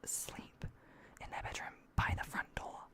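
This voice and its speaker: A young adult woman chatting casually to livestream viewers in a hushed, breathy whisper with creaky edges, keeping her voice down. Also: measured pace. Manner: keeping voice down late at night, swearing freely.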